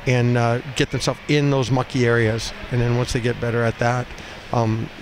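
Middle aged guy coach doing postgame interview on headset, blunt stop-start talk, noisy background, medium pitch. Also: normal speed. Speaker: ice hockey coach. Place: small cinder-block room indoors at a rink. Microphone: headset boom mic. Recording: noisy arena room, steady background din.

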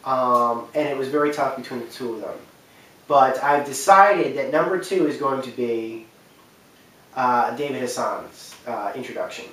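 A man's voice: mid-range voice, moderate pace, fairly bright tone. A man in his twenties hosting a game countdown, speaking casually and unscripted with emphatic, rambling delivery. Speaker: video countdown host. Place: indoor wood-panelled room, echoey. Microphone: camera mic a few feet away. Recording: echoey room, distant camera mic.

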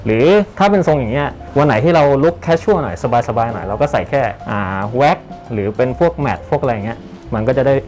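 A man's voice: medium pitch, animated, fast talker, a little mellow, faint music behind. A young man working as a senior barber, explaining a haircut and styling steps politely while working in a barbershop. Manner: polite, explanatory, often ends phrases politely. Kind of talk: hands-on styling demonstration. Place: barbershop indoors.

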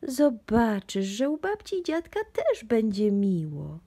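A calm parent mouse from a children's storybook, voiced by a woman, soothing and gently firm. The voice is breathy, a little creaky, and explains patiently as if to a small child. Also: fairly high pitch, slow pace, heavily acted. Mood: reassuring. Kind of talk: children's storybook read-aloud.

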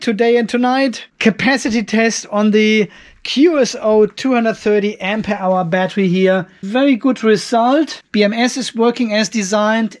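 Male video host, 50s, voice set well above average, casual explanatory commentary delivered as if thinking aloud. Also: high voice. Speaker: host of an off-grid solar video channel. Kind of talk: casual product test commentary. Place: cluttered garage workshop.

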